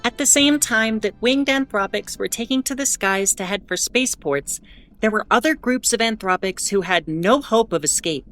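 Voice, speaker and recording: A woman playing a weary, sardonic starship broadcaster in an audio drama, giving history in a deliberate, grudging, pointed way. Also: medium pitch, expressive, fairly bright tone, natural voice. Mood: grave, explanatory. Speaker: ship's bulletin broadcaster. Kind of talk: in-character educational monologue, audio fiction.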